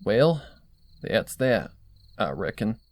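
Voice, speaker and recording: A man voicing a steady, stern female country pony with a plainspoken, folksy manner in a storybook reading. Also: fairly low pitch, takes it slowly, no echo.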